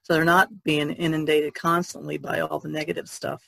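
A woman's voice: deep voice, flat delivery, moderate pace, gravelly, fairly bright tone, dry recording. A woman talking casually as a panelist in a podcast discussion.

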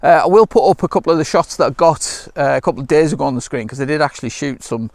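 A middle-aged British man chatting casually to camera outdoors in a relaxed, unscripted, slightly hesitant way. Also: on the high side, fast talker, vocal fry, no room sound. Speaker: landscape photography vlogger. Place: outdoors by a lake shore.

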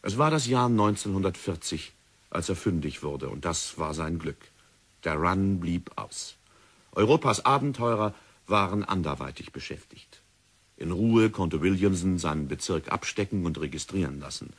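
A male narrator tells the story in a measured, sober way. His voice is very deep and husky, like a vintage radio documentary. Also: animated. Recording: old radio play recording.

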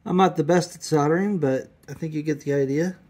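A man's voice: a little high, fairly quick, darkish tone, husky. Man talking through motorcycle repairs. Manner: plain, matter-of-fact, with frequent fillers and self-corrections. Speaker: motorcycle restorer filming his build. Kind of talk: hands-on workshop explanation. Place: home garage workshop.

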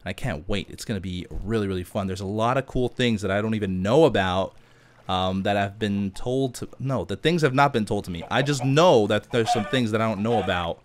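A man's voice: fairly low pitch, brisk, slight background noise. Rambling man chatting over gameplay. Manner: rambling, thinking aloud. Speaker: gaming YouTuber. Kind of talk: casual gameplay commentary with question-and-answer chat.

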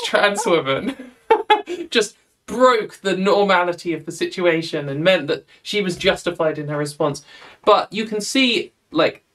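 A woman's voice: lowish voice, fairly bright tone. A young woman hosting a casual chat over a video call, talking in a chatty way and laughing often. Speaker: host.

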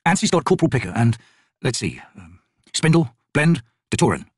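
A man's voice: medium pitch, sing-song, moderate pace, slightly rough, no echo, studio quality. A man voicing a young noble-born army captain in a fantasy audiobook, formal, guarded and restrained.

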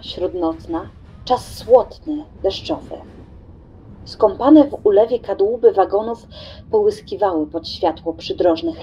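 Woman, dramatic literary narration, dark mellow tone, theatrical phrasing, slightly muffled recording. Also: mid-range voice, a little hiss or hum.

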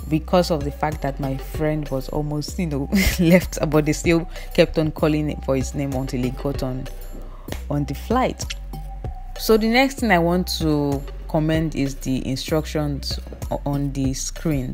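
A woman's voice: low-pitched, darkish tone. A young woman chatting casually into her phone camera from an airplane seat, reviewing her flight. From Nigeria. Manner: chatty, conversational, self-correcting. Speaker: travel vlogger. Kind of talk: casual vlog commentary. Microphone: phone camera held close.

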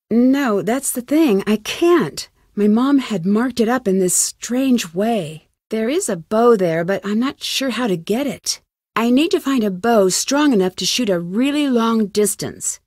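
A woman voicing a young amateur detective in a video game, inquisitive and determined, with a clear, earnest, questioning delivery. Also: medium pitch, a little mellow, no echo, studio quality. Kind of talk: scripted game dialogue, isolated lines.

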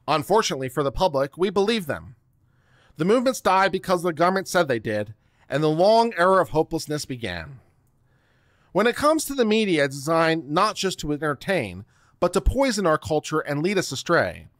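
A middle-aged man giving a theatrical, deliberate dramatic reading of a written text, close on the microphone. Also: on the high side, a bit bright, no echo. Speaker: host reading a document aloud. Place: small home studio room. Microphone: close microphone on a boom arm.

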